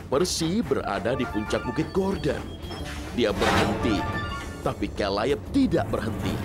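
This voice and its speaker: A man narrating a scripted children's story expressively. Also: mid-range voice. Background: music.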